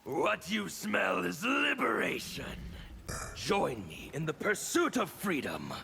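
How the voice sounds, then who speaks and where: An actor playing a scarred, fanatical revolutionary in a fighting game, gravelly and grandiose in his declarations. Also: sits high, sing-song, slow pace, bright, forceful tone, a little hiss or hum, full character voice. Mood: fervent defiance. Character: scarred, fanatical male revolutionary agent of chaos. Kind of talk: theatrical fighting-game villain acting.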